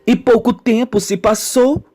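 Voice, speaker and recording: A woman acting a slow, wounded Brazilian woman confessing. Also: on the high side, fairly even intonation, warm tone. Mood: bitter longing. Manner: slow, melodramatic, deliberate storytelling. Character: Simone, wounded woman confessing her painful childhood, slow and brooding. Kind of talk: amateur radio soap acting. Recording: music bed under the voice.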